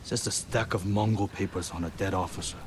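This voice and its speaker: An actor playing a blunt, wary leader of samurai fighters in a video game, with a commanding manner. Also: male voice, deep voice, fairly even intonation, fairly quick, slight background noise, lightly acted. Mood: skeptical. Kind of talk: video game cutscene acting. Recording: game music underneath.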